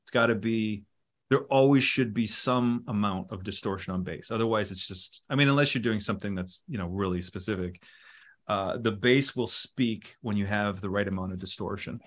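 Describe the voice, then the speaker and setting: Low-voiced man rambling about studio work. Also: moderate pace. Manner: relaxed, rambling, with many fillers. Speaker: musician and producer guest. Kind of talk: casual interview talk.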